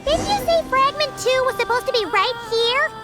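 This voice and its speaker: A woman voicing a small, bossy fairy companion in a video game, with a squeaky childlike voice and exclamatory delivery. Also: lively intonation, moderate pace, bright, forceful tone, exaggerated voice. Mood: exasperated. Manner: exclamatory, scolding. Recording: music behind.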